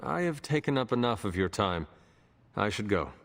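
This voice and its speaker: A stoic game warrior from another age, with a low voice that moves widely in pitch. His speech is formal and stiff, with music playing behind him. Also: very animated, moderate pace, a bit bright. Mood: reserved, curious. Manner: stiff, formal, avoids contractions. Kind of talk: video game cutscene acting.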